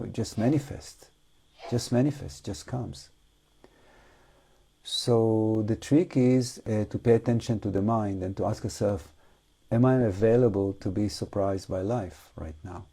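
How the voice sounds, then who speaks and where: A man who is not a native English speaker guides an unscripted meditation talk, reflective and halting, with long pauses. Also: fairly low pitch, mellow. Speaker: meditation teacher.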